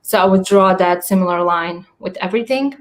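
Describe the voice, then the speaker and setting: A woman's voice: fairly low pitch, a little fast. A woman in her thirties from Croatia, answering interview questions earnestly, often restarting her sentences over a video call. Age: 30s. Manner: earnest, frequent restarts and fillers. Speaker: guest.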